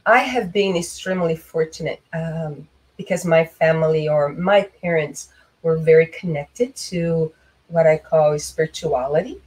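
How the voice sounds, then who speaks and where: A middle-aged woman from Brazil, a guest on a video call, speaking slowly and reflectively with many pauses and fillers. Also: low-pitched, darkish tone, rough recording. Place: small room indoors. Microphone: webcam on video call.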